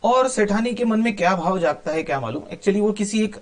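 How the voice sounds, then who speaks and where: A man's voice: high-pitched, lively intonation, fairly quick. A man telling a moral story informally, explaining as he goes with conversational asides and some English words mixed in. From India.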